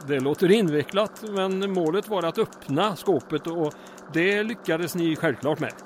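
A man's voice: a little high, slight background noise, natural voice. A man playing a wry, composed wartime town mayor with a dryly polite manner in a comic audio drama. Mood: dry, unruffled.

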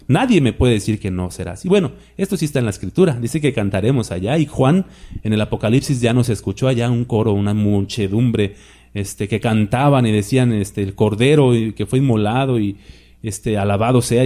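A man's voice: medium pitch, warm tone. A man preaching a sermon, asking the congregation questions and answering them himself, often calling them his brothers. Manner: repeatedly addresses listeners as "my brothers".

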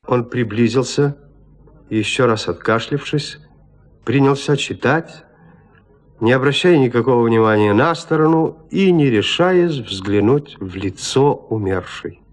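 A man narrating classic literature in an expressive, deliberate, theatrical manner. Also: medium pitch. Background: music.

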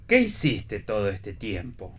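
A man's voice: on the low side, wildly swooping pitch, unhurried, a bit bright, breathy edge, full character voice. An actor playing a sardonic, free-spirited man who teases with dry quips in a read radio play. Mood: wry, guarded. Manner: wry, teasing, dry quips. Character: Miguel, sardonic free-spirited man back after long absence. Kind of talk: staged reading of a radio play.

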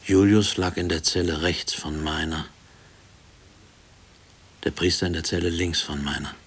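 An actor playing a haunted middle-aged former prisoner, speaking a hushed, deliberate, brooding radio play monologue. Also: male voice, sits low, steady tone, a little hiss or hum. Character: haunted male former prison inmate, brooding family man. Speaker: narrator and lead character of a radio play. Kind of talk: brooding first-person radio drama monologue.

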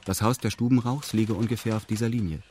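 An actor playing a detached narrator who recounts events in meticulous, matter-of-fact detail in a radio drama. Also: male voice, lowish voice, fairly even intonation, quick pace, darkish tone, slight background noise, natural voice.

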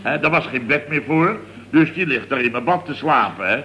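An actor playing a flustered, indignant middle-aged building boss, telling things in a long-winded, exasperated way in a comic radio serial. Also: male voice, mid-range voice, expressive, moderate pace, pushed and bright, rough recording. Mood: exasperated storytelling. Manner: long-winded, exasperated storytelling peppered with verbal tags. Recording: old radio recording with faint noise.

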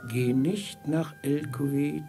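An actor in a German radio play playing a poor, knowing sage who speaks in measured, cryptic riddles. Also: male voice, on the high side, steady tone, vocal fry, warm tone, soft background music. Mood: cryptic, musing.

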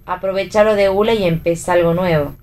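A woman's voice: on the low side, fairly even intonation, fairly quick, darkish tone, lightly acted. Breezy actress as Argentine female friend. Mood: breezy, teasing. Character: Romy, breezy teasing female friend giving advice. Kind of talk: radio theatre reading, dramatic dialogue.

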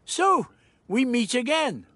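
A man voicing an eccentric old gentleman doctor in a storybook, genial and crafty, with fluttery asides and grand exclamations. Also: very high voice, big pitch swings, slow, dry recording, voice pushed up, heavily acted. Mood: delighted, grand.

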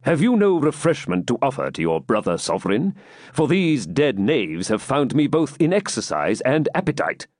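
Man performing a proud warrior king, hearty, commanding, raised swooping pitch, close dry sound, storybook drama. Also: sing-song, normal speed, darkish tone, dry recording. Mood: teasing. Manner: grand, commanding, archaic speech.

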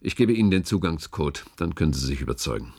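Grave older secret chief, radio play, gravelly, very deep, deliberate, persuasive. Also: male voice, sing-song, darkish tone, heavily acted. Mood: solemn, urging. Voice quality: gravelly, husky. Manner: deliberate rhetorical persuasion with weighty pauses. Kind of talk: German radio play acting.

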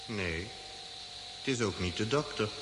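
An actor playing a mysterious, calm alien voice that speaks in an eerie, measured way in a Dutch radio drama. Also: male voice, fairly low pitch, noisy background. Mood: eerily calm. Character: mysterious calm disembodied alien voice misleading an astronaut.

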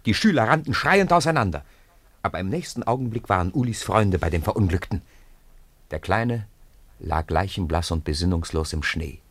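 A man's voice: low-pitched, expressive, moderate pace, no room sound. A man narrating a scripted story for a radio play.

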